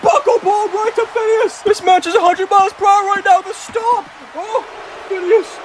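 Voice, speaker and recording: High voice, a performer acting as anxious wrestling announcer, excitable play-by-play, noisy home-made recording. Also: normal speed. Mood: alarmed, excited. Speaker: wrestling commentator and ring announcer. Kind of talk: excited play-by-play commentary of a staged wrestling match.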